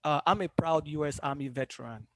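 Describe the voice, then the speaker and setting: A man from Cameroon introducing himself on an alumni panel, speaking in a measured way with frequent pauses. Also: on the high side, a bit bright, dry recording. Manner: measured, pausing with many ums.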